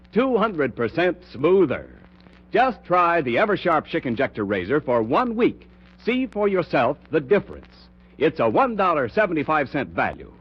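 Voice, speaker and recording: A man reading a 1940s radio commercial as an announcer, with punchy, emphatic salesmanship. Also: on the high side. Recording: muffled 1940s radio, slightly husky sound.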